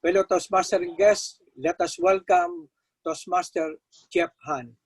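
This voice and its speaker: A man's voice: sits high, fairly even intonation, unhurried, slightly rough, dry recording. A man hosting a meeting and formally introducing the next speaker in a welcoming, announcing manner.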